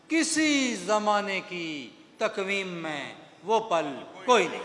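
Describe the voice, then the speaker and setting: A man's voice: sits high, bright, forceful tone. A man, a poet, reciting a ghazal at a poetry gathering in a large hall, declaiming each couplet, repeating lines, rising on the first line and falling at the end. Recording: echoey hall with long reverb.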